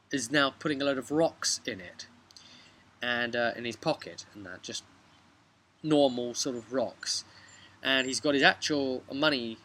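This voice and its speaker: Bright-voiced young British man, casual storyteller. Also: mid-range voice. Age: young adult. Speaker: game master recapping a tabletop role-play session. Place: outdoors on a bench beside a brick house. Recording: noisy outdoor recording with traffic ambience.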